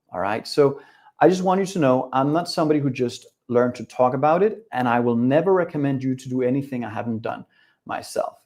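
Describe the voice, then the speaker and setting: A man's voice: medium pitch, a little mellow. Danish-accented man coaching a live webinar. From Denmark. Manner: upbeat, direct, addresses viewers and chat.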